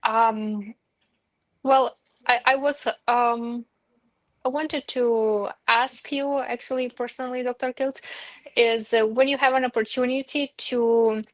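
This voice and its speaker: Halting woman, higher voice. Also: fairly even intonation, no echo. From Eastern Europe. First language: Belarusian. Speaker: guest on a livestream. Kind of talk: hesitant personal conversation.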